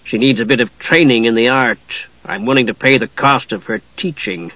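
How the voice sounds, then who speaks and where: Man playing a gruff, growling dwarf rogue. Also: medium pitch, animated, a little fast, fairly bright tone, no room sound, dull audio. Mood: surly bargaining. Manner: growling, stiff, muttering. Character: gruff, proud, irritable male dwarf rogue.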